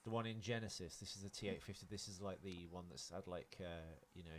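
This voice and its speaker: A man with a slightly low voice co-hosts a casual film podcast. He talks quickly and chattily, explaining his points as he goes. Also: steady tone, a little hiss or hum. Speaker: podcast co-host.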